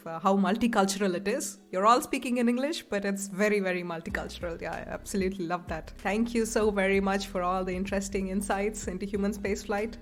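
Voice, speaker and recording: A woman hosting a podcast who asks clear, articulate questions in a friendly tone. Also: mid-range voice. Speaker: podcast host, interviewer. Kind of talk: interview questions.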